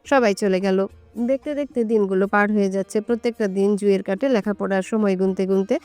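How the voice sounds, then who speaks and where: A woman narrates a scripted romance. Her voice is smooth and medium-pitched, recorded dry and close. Faint music plays behind her. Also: fairly even intonation, a little fast, mellow, dry recording. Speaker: storyteller.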